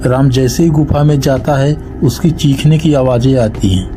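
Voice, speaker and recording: A man narrating a children's cartoon story in a steady storytelling manner. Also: medium pitch, normal speed. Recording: music playing underneath.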